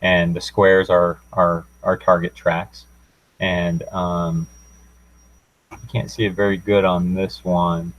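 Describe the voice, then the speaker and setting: A man's voice: sits low, little pitch movement, takes it slowly, low-quality recording. A young man giving an informal student technical presentation, talking through a demo in a hesitant, unscripted way. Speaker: student presenting a class project.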